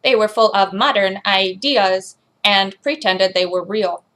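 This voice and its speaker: A woman's voice: medium pitch, steady tone, a little slow, fairly bright tone, close to an everyday voice. A woman voicing a robot that reads a children's storybook aloud in a robotic delivery. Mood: mechanical, measured. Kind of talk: scripted storybook narration.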